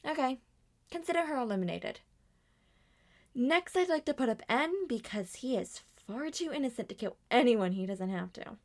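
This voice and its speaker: An edgy young robot girl detective, acted out by a woman, with a lively voice that swings freely in pitch. She is suspicious, blunt and sardonic, pitched for an amateur audio roleplay. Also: normal speed. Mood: skeptical, blunt.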